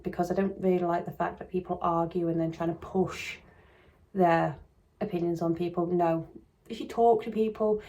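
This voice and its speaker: A woman with a croaky voice coming on, casually commenting on a true crime case in a rambling, hedging way with frequent restarts. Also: on the low side, a little mellow.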